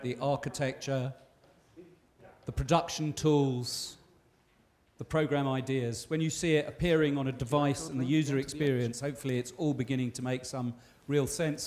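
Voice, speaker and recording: A man's voice: mid-range voice, darkish tone. A man speaking as a conference moderator, giving summing-up remarks from the stage of a lecture hall. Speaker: session moderator.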